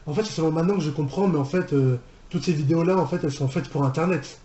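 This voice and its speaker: High-ish, mellow man rambling in echoey room. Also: racing, highs cut off, soft background music. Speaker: reaction video host and fan dubber. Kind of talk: casual live reaction commentary.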